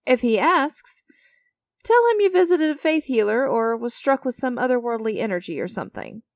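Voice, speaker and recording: A woman's voice: fairly high pitch, lively intonation, moderate pace, no room sound, clean studio sound. A woman playing an enigmatic, creepy stranger who strikes sinister deals in a dramatic audio roleplay, teasing and theatrical with a smug, coaxing manner. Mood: smug, playful.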